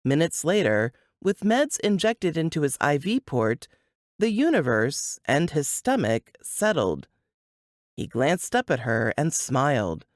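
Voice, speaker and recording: Slow, expressive woman narrating fiction. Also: low-pitched, no room sound, clean studio sound. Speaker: audiobook narrator.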